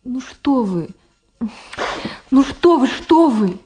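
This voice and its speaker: A fairly high, mellow voice playing a shy young woman, a modest student in a radio play. Her delivery is courteous, reserved and hesitant. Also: lively intonation, slow. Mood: embarrassed, demurring. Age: young adult. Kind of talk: Soviet radio drama acting.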